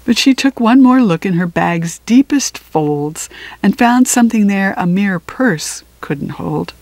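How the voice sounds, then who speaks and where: Slow-paced woman reading a storybook. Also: medium pitch, dry recording. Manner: unhurried, expressive phrasing with deliberate pauses. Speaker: storybook reader. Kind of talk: read-aloud of a children's picture book.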